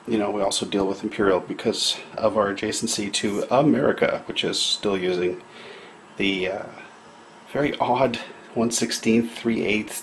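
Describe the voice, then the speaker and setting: A man's voice: lowish voice, a little hiss or hum. A middle-aged man from Canada, talking casually through a kitchen fix in a how-to video, rambling and thinking aloud with lots of fillers. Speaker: DIY maker vlogger. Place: home kitchen.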